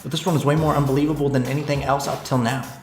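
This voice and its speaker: An earnest teenage schoolboy detective a man acts him thinks aloud in a game dub. He is anxious and easily bewildered, yet determined. The mid-pitched voice sits in a roomy recording with music clearly behind it. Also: level tone, racing, darkish tone, close to an everyday voice. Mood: bewildered, numb. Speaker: voice actor in a fan dub. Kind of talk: game dub narration and dialogue.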